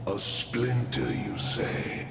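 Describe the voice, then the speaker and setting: A man voicing a menacing, arrogant fiery spirit villain in a video game, gravelly and theatrically sneering, with a cavernous echo. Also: on the low side, exaggerated voice. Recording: echoing voice under very loud music.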